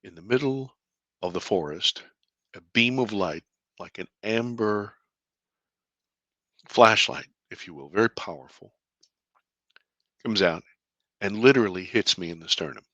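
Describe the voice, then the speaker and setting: A deep-voiced man, a filmmaker guest in an interview, telling a personal story earnestly, with halting restarts and bursts of excitement. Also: no echo, lo-fi sound. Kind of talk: conversational storytelling.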